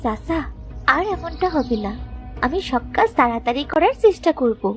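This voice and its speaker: A woman's voice: sits high, lively intonation, normal speed, a bit bright, faint music behind. A woman playing a kind, mistreated young girl in a cartoon tale, speaking in a timid, pleading way. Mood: apologetic. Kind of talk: animated moral tale voice acting.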